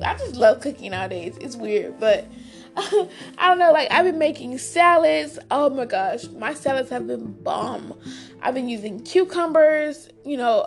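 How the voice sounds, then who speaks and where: A high-pitched woman with a raspy, hoarse voice chats casually with her podcast listeners. She talks with friendly enthusiasm and keeps calling them "guys". Faint music plays behind her. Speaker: podcast host. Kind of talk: casual solo podcast chat.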